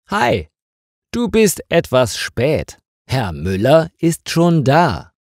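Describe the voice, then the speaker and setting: Slow, animated male storyteller. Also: on the low side, breathy edge, dry recording, studio quality. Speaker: storyteller for German learners. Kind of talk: scripted story narration, clear and simple for learners.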